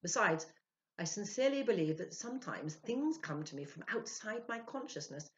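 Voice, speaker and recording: An earnest, self-important man who works as a medium, acted out by an older woman storyteller, speaks in emphatic, animated swings. He keeps justifying himself. It is a storybook reading on a slightly dull home recording. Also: mid-range voice, moderate pace, voice pushed up, close to an everyday voice. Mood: candid, defensive.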